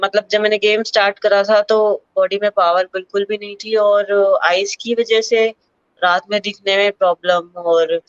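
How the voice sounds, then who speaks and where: A young woman from Uttar Pradesh talks through her life story in a relaxed, searching way, pausing often to find words. Her voice is smooth and clear, recorded close and dry with a slightly dull, muffled sound. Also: mid-range voice, dry recording. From Uttar Pradesh, India. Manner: thoughtful, many fillers, mixes English words into Hindi. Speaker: para-athlete sprinter, interview guest. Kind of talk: candid personal interview.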